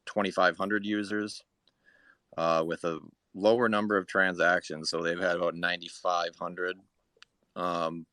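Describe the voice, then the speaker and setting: Man, very low voice, dry close sound, halting, matter-of-fact, casual explaining. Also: flat delivery, sharp tone, no echo. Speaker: participant reporting figures. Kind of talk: casual explanation in a live audio chat.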